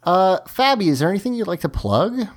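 A man's voice: high-pitched, big pitch swings, fairly quick. Man losing his voice, talking emphatically. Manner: emphatic, talkative. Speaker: podcast host. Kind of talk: casual podcast discussion.

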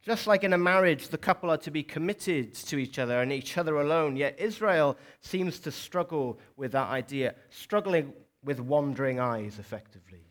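A young man with a South Welsh accent gives a sermon, a touch higher than most men. He talks in a loose, conversational way and turns aside to the congregation now and then. The sound is a church service. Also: moderate pace. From South Wales. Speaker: preacher.

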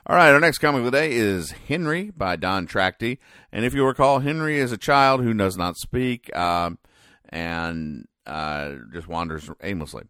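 A man hosting a comedy podcast, explaining things in a halting, deadpan mock-serious commentary. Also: on the low side, smooth, dry recording, studio quality. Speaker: podcast host. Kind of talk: comic commentary describing newspaper comic strips.

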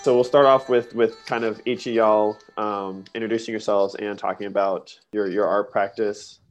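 Podcast host reading the intro, guitar music faintly behind, then asking stuff kinda haltingly. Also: mid-range voice, fairly even intonation. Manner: reads intro smoothly, then hesitant when asking. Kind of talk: scripted intro then casual interview questions.

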